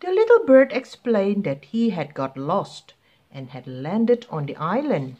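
Woman reading a bedtime story to kids, slow n super expressive, up and down voice. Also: mid-range voice, muffled recording. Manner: expressive, deliberate. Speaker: children's story reader. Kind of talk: read-aloud picture book narration.

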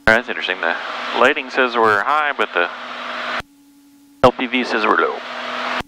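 A man's voice: medium pitch, lively intonation, normal speed. A man piloting a small plane, talking himself through the flight in terse, muttered phrases over a headset. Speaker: private pilot. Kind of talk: self-talk and radio calls while flying. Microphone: aviation headset. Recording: loud engine noise, cockpit radio audio.

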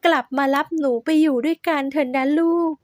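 A desperate young mother begs in a raised, strained voice, as a woman narrator puts her on. It sounds close and dry, with her pitch pushed well above plain talk. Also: fairly even intonation, brisk, no room sound, natural voice. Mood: pleading. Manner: high pleading tone. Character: young mother pleading, tearful and anxious.

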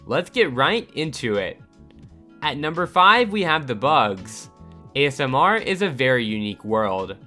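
Lively man narrating a countdown. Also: medium pitch, unhurried. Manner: upbeat, lively countdown delivery. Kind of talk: scripted voice-over narration. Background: soft background music.

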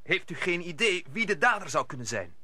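An actor playing an ardent, earnest young musketeer in a radio play. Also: male voice, high-pitched, sing-song, a little fast, sharp tone, no room sound, full character voice. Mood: inquiring.

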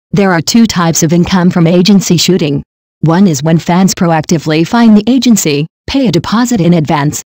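Steady, dry text-to-speech news voice. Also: medium pitch, dry recording. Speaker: news narrator. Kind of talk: scripted news narration.

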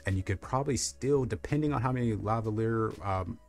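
A young man with a very deep, low voice talks through a gadget review in a loose, rambling way, full of fillers. He is recorded close on a lapel mic in a small room. Faint music plays underneath. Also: a little fast, gravelly. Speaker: tech reviewer. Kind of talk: casual product review. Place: small room lit with colored lights.

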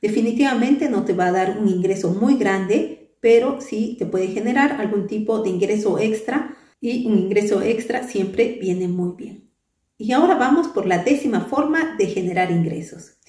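A woman in her thirties talks to the camera in a patient, explanatory way, dotting her sentences with fillers and little "right?" tags. Her voice is mellow and soft-edged. The sound is roomy and echoey, and she sits a bit back from the mic. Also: medium pitch, moderate pace, far from the mic. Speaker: crochet channel host. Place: small bright room indoors. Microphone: camera at a distance, head and shoulders framing.

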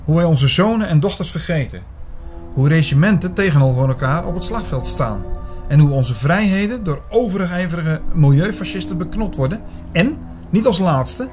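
An actor in a radio drama playing an earnest investigator who argues insistently and with literary phrasing for his dark convictions. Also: on the high side, close to an everyday voice. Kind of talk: radio drama narration and dialogue.

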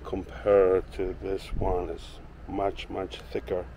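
A deep-voiced man ambles through his backyard, chatting loosely about his plants with plenty of "uh" and "like." He talks slowly into the camera he is holding. There is a faint hiss of outdoor ambience behind him. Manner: rambling, filler-heavy, relaxed. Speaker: woodworking channel owner. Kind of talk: casual walking tour vlog. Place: backyard garden outdoors, then indoor workshop. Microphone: handheld camera held by the speaker.

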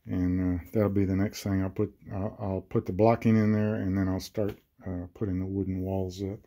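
Older man with a low, mellow voice. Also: little pitch movement. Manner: plain, unhurried, with many fillers. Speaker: DIY vlogger. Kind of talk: casual work narration. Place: unfinished barn room with plywood walls.

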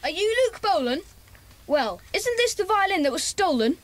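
High-voiced plucky schoolboy. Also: female voice, very animated, normal speed. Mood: earnest. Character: earnest, plucky schoolboy leader of a children's club. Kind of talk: children's audio drama acting.

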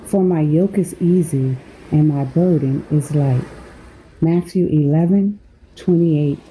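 Low, slow, mellow woman guiding sleep meditation. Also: a little hiss or hum, low-quality recording. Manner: unhurried, measured delivery with pauses between phrases. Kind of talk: guided sleep meditation with scripture reading.

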